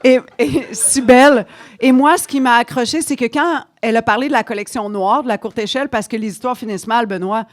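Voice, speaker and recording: A woman's voice: fairly high pitch, fairly quick. Sarcastic Québécois woman co-host. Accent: Québécois French. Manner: sarcastic, teasing. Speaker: podcast co-host. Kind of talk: casual comedic chat.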